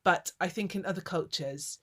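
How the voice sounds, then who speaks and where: A woman's voice: on the low side, normal speed, fairly bright tone. A woman from England hosting a casual conversation, talking in a thoughtful, rambling way. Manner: thoughtful, rambling, with many fillers and restarts. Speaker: host.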